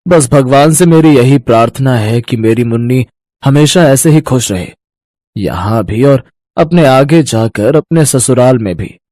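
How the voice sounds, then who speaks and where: A man's voice: medium pitch, a little slow, a little mellow, no echo, studio quality, close to an everyday voice. A man voicing a doting village farmer father in a cartoon story, tender and earnest. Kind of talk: Hindi moral-story cartoon voice acting.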